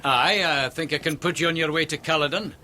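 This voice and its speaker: A young Scottish minister in a radio play, with a fairly high, bright voice. He is polite, earnest and educated, with a touch of hesitation. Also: a little fast, slight background noise. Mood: earnest, courteous. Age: young adult. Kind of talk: radio drama acting.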